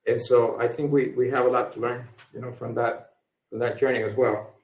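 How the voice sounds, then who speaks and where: Halting man moderating from far off. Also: medium pitch, flat delivery, darkish tone. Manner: halting, many fillers and restarts. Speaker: panel moderator. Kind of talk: hesitant panel introduction. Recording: echoey room, far from mic.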